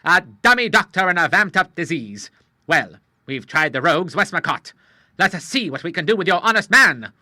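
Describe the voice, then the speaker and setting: A man's voice: sits high, sing-song, a little fast, no room sound, studio quality, voice pushed up, exaggerated voice. A man doing a blustering old sea admiral. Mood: triumphant. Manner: gruff nautical talk, loud and emphatic. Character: hearty elderly male retired admiral, blunt. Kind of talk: audiobook character voice.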